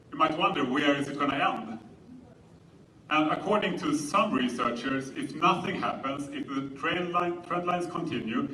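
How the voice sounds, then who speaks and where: A man with a Swedish accent presents to an audience in a bright, clear-cut tone. His delivery is measured and explanatory, like a conference talk. The sound is roomy and picked up from a distance, with a little noise. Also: medium pitch. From Sweden. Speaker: conference speaker. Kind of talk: public presentation lecture.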